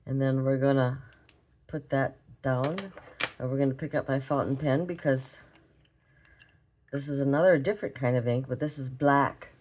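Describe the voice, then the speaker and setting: A hoarse, raspy woman with a Minnesotan accent, chatting casually as she draws in an art tutorial vlog. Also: a bass voice, steady tone, normal speed, pauses often, a little mellow, slight background noise. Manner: chatty, rambling, talks while drawing. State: hoarse from a cold. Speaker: art vlogger. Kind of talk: relaxed drawing tutorial chat.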